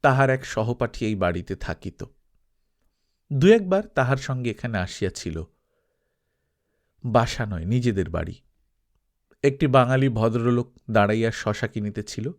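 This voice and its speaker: A male audiobook narrator reads literary prose in a mid-pitched voice. His delivery is expressive and scripted, with wide, animated movement in intonation. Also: measured pace, long pauses.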